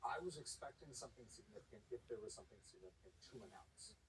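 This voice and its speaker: A man's voice: fairly low pitch, expressive, fast talker, distant mic, slight background noise, low-quality recording. A man giving thoughtful, slightly hesitant analysis as a foreign affairs commentator on a news panel.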